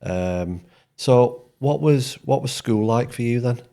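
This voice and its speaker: A man's voice: mid-range voice, slow pace, creaky, warm tone. A man from Yorkshire hosting a podcast interview, chatting casually and hesitantly with many ums and restarts. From Yorkshire, England. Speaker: podcast host.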